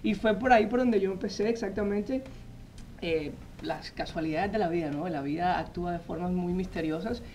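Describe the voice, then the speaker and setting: A man's voice: high-pitched, moderate pace, slight background noise. Man telling stories in a studio. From Colombia. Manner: animated storytelling, frequent "eh" fillers, gestures while talking. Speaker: magazine editor guest. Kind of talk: TV studio interview. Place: TV news studio set. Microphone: lapel mic.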